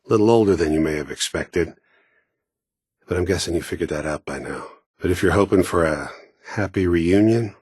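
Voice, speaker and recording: A cold, world-weary video game villain, a middle-aged American gun for hire with an extremely low, heavily rasping voice. He speaks in a laconic, sardonic way with quiet menace. The sound is close and dry. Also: no room sound, full character voice. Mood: grim, taunting. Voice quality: gravelly, raspy. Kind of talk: video game voice acting, cinematic monologue.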